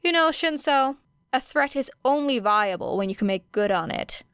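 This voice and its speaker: A woman's voice: sits high, moderate pace, clean tone, no room sound, clean studio sound, pitched above the performer's own voice. Woman doing a blunt, sardonic teen girl. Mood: flat. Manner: blunt, flat, through clenched teeth. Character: sardonic, guarded teenage girl hero student.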